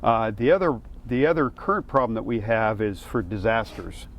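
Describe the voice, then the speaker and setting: A man speaking as a fire official, explaining matters in a halting, matter-of-fact way during an interview. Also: on the low side, animated.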